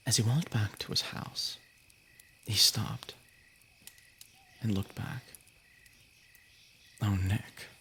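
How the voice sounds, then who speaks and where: A man with a gravelly, creaky voice casually reading stories as a livestream host, informal and soft-edged. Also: on the low side, a little hiss or hum.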